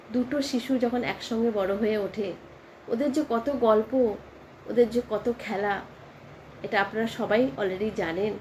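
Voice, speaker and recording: A woman narrating a casual family vlog, speaking informally and haltingly with English words mixed in. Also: mid-range voice, slight background noise, rough recording. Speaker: family vlogger. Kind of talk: casual personal narration to viewers.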